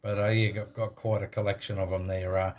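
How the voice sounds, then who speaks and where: A man's voice: lowish voice, level tone, fairly quick, a little mellow. An Australian man talking casually and matter-of-factly as an amateur radio operator making contact with another station. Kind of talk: casual radio contact chat with call signs.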